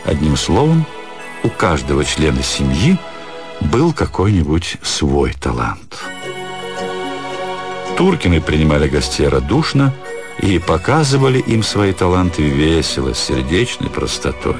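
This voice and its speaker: A man narrating a literary story for a radio play, in a storyteller's expressive style. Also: extremely low pitch, a little slow, music in the background.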